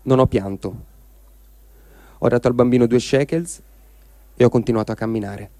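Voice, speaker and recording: A man giving a calm, measured dramatic reading of diary texts for a radio program. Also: mid-range voice. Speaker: reader. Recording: dry close studio sound.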